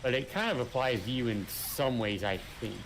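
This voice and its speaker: A man's voice: mid-range voice, normal speed. A man chatting casually while playing a co-op game, explaining his points with careful qualifiers. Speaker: co-op gaming partner. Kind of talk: casual gameplay chat. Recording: clear background noise.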